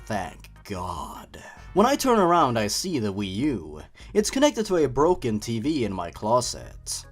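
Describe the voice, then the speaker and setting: A man narrates with a highly animated, swooping delivery, like a show host reading a spooky tale aloud. His manner is theatrical and teasing, slipping between drama and dry commentary. Faint music plays behind him. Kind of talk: dramatic scripted story reading with comic commentary.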